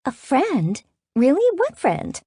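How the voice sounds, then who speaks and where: Woman acting an excitable, high-pitched bubbly sister. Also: brisk, no echo. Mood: incredulous. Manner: shrieking, exclamatory. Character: excitable sister on phone, bubbly, blunt, easily shocked.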